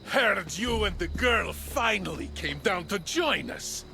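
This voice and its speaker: A cruel one-eyed villain sneers and taunts in a high, gravelly rasp. He drags out every jab, and his pitch swings wide when he loses his temper. Game score music plays beneath him. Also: expressive, sharp tone, exaggerated voice. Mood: taunting. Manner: slow mocking taunts, bursts of rage. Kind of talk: video game cutscene acting.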